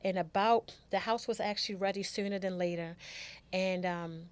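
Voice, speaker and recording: A woman's voice: mid-range voice, steady tone, moderate pace, clear, resonant tone, dry recording. A middle-aged American woman telling a personal story as a podcast guest, chatting casually, acting out conversations and repeating phrases for emphasis.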